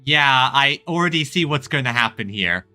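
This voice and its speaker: Bright-voiced streamer commenting casually on a game. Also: medium pitch, lively intonation, normal speed, faint music behind.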